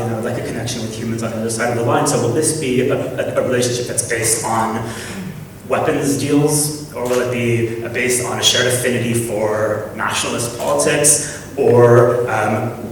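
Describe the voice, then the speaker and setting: A man speaks at a public panel in a large auditorium, picked up from a distance. His voice rings in long reverb, with a faint hiss beneath. He talks in a measured, reflective way, pausing on ums and restarting his phrases. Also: medium pitch. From Canada. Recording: echoey hall, far from the mic.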